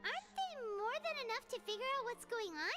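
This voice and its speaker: A woman voicing a tiny, chirpy female fairy companion in a video game who chatters eagerly in a squeaky, childlike voice. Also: sing-song, fairly quick. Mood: puzzled. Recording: game audio over loud music.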